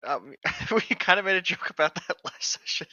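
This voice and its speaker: A man's voice: sits high, expressive, normal speed, pushed and bright, highs cut off. A man co-hosting a podcast, reading a novel aloud and joking casually in a playful, hammy, mocking way. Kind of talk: casual chat with jokey read-aloud.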